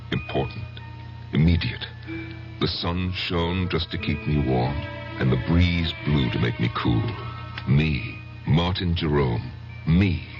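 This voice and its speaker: An actor playing a meek, anguished man who speaks haltingly and hesitantly, in old-time radio drama style. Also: rumbling low. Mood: wistful. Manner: halting, hesitant, self-effacing. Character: meek, anguished, insignificant man breaking long silence. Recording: dull radio recording with organ music.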